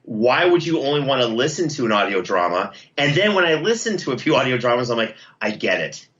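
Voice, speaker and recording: Bright, forceful man being interviewed. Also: mid-range voice, sing-song, brisk, muffled recording. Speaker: podcast host and producer, interview guest. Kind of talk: conversational interview.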